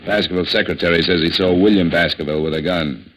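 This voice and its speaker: An actor playing a tough, wry, wisecracking insurance investigator and narrator in a 1950s radio detective drama. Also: male voice, low voice, steady tone, fast talker, a little hiss or hum. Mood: urgent. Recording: muffled old radio recording, loud music behind.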